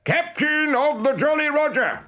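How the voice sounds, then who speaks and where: A man voicing a bold storybook boy hero who imitates a pirate captain with swaggering, drawn-out calls. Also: very high-pitched, expressive, pushed and bright, pitched above the performer's own voice, heavily acted. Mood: defiant. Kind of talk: dramatized children's storybook reading. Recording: music behind.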